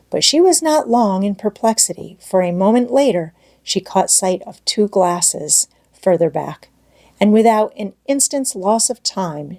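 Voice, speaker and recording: Woman reading a kids classic audiobook, voice a bit on the low side. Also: darkish tone. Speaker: audiobook narrator. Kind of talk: scripted storybook narration.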